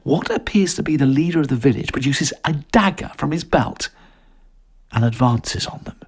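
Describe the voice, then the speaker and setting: A man reading a story as dramatic scripted narration, in a suspenseful, expressive manner. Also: fairly high pitch, moderate pace, breathy edge.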